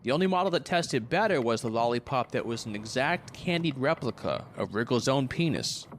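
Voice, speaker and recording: A man's voice: mid-range voice, animated, fairly quick, close to an everyday voice. A man acting in a conversational stage drama, playing a glib, crude, wounded ex-lover who rambles and needles. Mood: teasing, mock-earnest. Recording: faint background noise.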